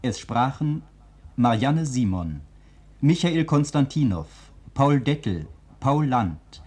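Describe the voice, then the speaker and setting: A male announcer from 1940s radio reads formal scripted credits. His voice is mellow and somewhat husky, and he takes his time. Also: mid-range voice, very animated, slow.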